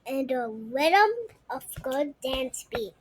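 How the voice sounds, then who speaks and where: High-pitched child, slow animated story reading. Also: female voice, a little hiss or hum, rough recording. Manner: halting, repeats words while reading. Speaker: story reader. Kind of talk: storybook read-aloud.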